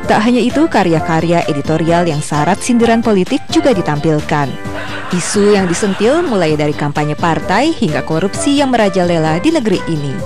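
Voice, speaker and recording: A woman's voice: fairly low pitch, big pitch swings, fairly quick. A woman reading a scripted news voice-over as a reporter. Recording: music bed under voice.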